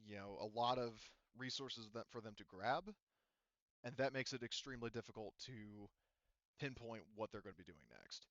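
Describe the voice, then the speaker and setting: A man running a tabletop game as game master, explaining the situation off the cuff in a halting, rambling way and often qualifying himself. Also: mid-range voice, fairly bright tone, no echo, highs cut off.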